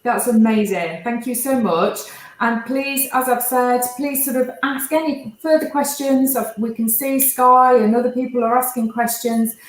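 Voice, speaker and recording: A woman hosts a live online broadcast in a fairly high voice. She talks in a chatty way, leaning on "sort of" as she links topics. The room around her is noticeably echoey. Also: fairly even intonation, normal speed. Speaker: host. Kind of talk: live stream hosting.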